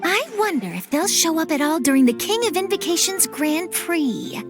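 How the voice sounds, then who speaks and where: An actress playing an upbeat, inquisitive young journalist in a video game cutscene. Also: female voice, very high-pitched, big pitch swings, moderate pace, music in the background, heavily acted. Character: upbeat curious young woman reporter.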